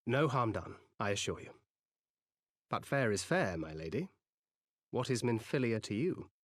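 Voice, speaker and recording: An actor playing a solemn, protective adventurer haunted by regret in a fantasy game, speaking in an earnest, measured way. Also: male voice, medium pitch, very animated, no echo, studio quality. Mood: gentle, resolved. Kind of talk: fantasy video game cutscene acting.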